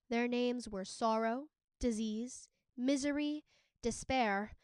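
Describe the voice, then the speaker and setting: Woman reading as a measured, forgiving mythical husband, high-voiced. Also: dry recording, clean studio sound, natural voice. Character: forgiving, resigned male titan husband of myth.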